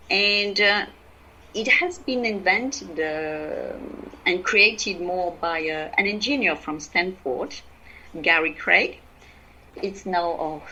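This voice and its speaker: A woman with a French accent, speaking English as a second language, explaining her work as a podcast guest in halting speech full of fillers and restarts. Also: lowish voice, sharp tone, highs cut off. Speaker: guest, EFT practitioner. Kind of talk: podcast interview.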